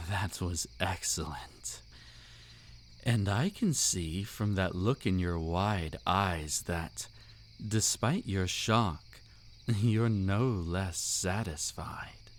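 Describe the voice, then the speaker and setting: A man acts out a seductive, commanding vampire count in an intimate audio drama. He speaks in a lowish register at a very slow pace, with suave, teasing formality and a possessive air. Faint night-time cricket noise sits behind the voice. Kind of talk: intimate roleplay audio drama, scripted monologue.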